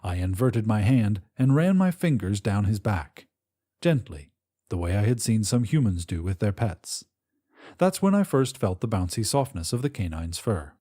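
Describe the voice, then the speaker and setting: A man reading first-person fiction as an audiobook narrator in a measured, precise, gentle storytelling delivery. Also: on the low side, very animated.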